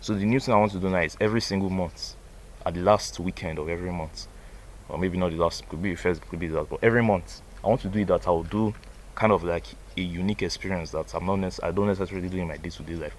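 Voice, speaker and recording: A man's voice: deep voice, steady tone, fast talker, slight background noise. A man, rambling loosely through a casual motivational monologue for his vlog, full of "like" and "bro". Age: 20s. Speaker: vlogger. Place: outdoors by a banana tree.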